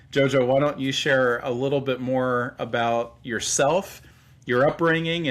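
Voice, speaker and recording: A man's voice: mid-range voice, a bit bright. Earnest man hosting a ministry podcast. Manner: earnest, halting with fillers. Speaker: podcast host.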